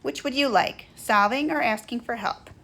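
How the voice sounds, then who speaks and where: A big, earnest male beetle from a children's book, acted by a woman reader. His voice jumps up high and sounds exclamatory and eager. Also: normal speed, a bit bright. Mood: helpful. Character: big male storybook beetle, bewildered but eager to help. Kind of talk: children's picture-book character voice.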